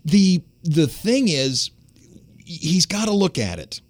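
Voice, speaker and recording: Baritone male radio host, storytelling. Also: sing-song, slow pace. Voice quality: lower baritone voice. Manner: storytelling, conversational, with restarts. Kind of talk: casual radio chat.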